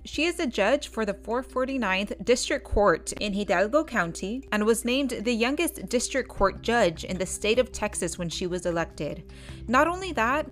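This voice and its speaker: A woman hosting a podcast, welcoming listeners and introducing her guest with enthusiasm. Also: on the high side. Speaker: podcast host.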